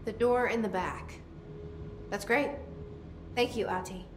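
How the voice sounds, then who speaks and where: An actress playing a polite, businesslike young heroine in video game dialogue. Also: female voice, mid-range voice, unhurried, roomy sound, background noise, close to an everyday voice. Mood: grateful. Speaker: protagonist speaking aloud.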